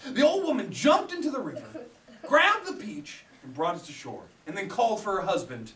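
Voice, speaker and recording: A man telling a story live to children as a puppet show host, in an animated way, prompting them to join in. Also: high-pitched, normal speed. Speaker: puppet theater storyteller and director. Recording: echoey room, distant mic, faint noise.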